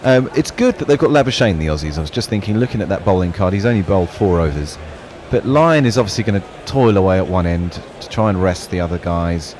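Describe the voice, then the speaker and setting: A man's voice: low-pitched, expressive, quick pace, hardly any pauses, darkish tone. A man on cricket radio, genially reading listener messages and bantering with co-hosts. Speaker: co-commentator reading listener tweets. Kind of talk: casual radio chat. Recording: faint background noise.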